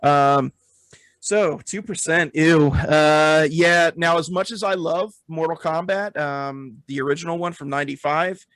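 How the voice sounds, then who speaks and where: A man with a slightly high voice and heavy vocal fry hosts a casual movie review podcast. He gives his opinions emphatically and conversationally. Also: steady tone, unhurried, a bit bright, no room sound. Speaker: podcast host. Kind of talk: casual film review chat.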